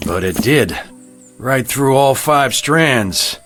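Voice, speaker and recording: A man's voice: mid-range voice, expressive, slow pace, music in the background. A man acting a stern, weary frontier sheriff in a radio drama, terse and commanding. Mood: challenging.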